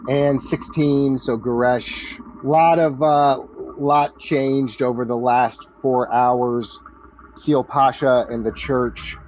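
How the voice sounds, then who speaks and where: A man running a tabletop roleplaying game as game master, casual and conversational, with some halting phrasing. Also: medium pitch, darkish tone, a little hiss or hum. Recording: dull, muffled audio with music behind. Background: background music.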